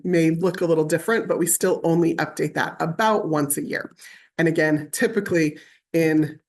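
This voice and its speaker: A woman's voice: lowish voice, a little mellow. A woman presenting an online webinar, explaining things casually with everyday examples, fillers and asides. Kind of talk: conversational online teaching.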